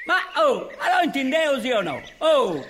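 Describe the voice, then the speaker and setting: A man's voice: extremely high pitch, very animated, normal speed, a bit bright. A man talking colloquially in an agitated, exasperated and repetitive way. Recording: outdoor ambience with birdsong. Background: faint outdoor noise and birds.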